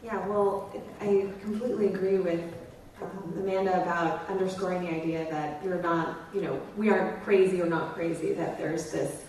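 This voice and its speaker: A woman's voice: on the low side, fairly even intonation, normal speed, darkish tone, recorded from a distance, a little hiss or hum. Thoughtful woman psychologist on a panel. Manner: thoughtful, analytical, hesitant fillers. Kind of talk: convention panel talk. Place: convention panel room, live audience. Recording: echoey room mic from afar.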